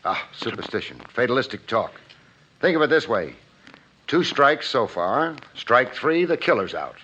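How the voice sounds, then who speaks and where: An American actor playing a cool-headed, wisecracking private investigator in a 1950s radio drama, with a wry, clipped, hard-boiled delivery. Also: male voice, mid-range voice, big pitch swings, normal speed, sharp tone, a little hiss or hum. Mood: determined. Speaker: lead actor and narrator. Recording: muffled old radio broadcast, faint music.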